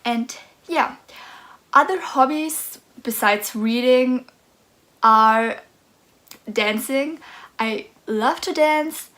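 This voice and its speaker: Slow, soft-spoken young Austrian woman. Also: a little high. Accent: Austrian German. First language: German. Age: 20s. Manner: nervous, hesitant, soft-spoken. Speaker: book vlogger. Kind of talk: casual vlog chat.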